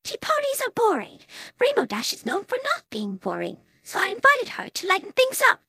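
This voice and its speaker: A poised, ladylike young mare in a cartoon pony story. The voice is very high and bright, with sweeping intonation. Speech is polite and gracious, with a teasing edge. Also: huge pitch swings, normal speed, gravelly, no echo, exaggerated voice. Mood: teasing, reassuring.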